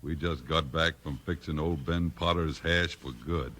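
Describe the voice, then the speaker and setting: An actor playing a boastful, menacing outlaw boss in a radio Western, with a rough American Western drawl. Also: male voice, extremely low pitch, steady tone, measured pace, a bit bright. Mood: sneering, boastful. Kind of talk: 1950s radio Western acting. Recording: slightly noisy old 1950s radio recording.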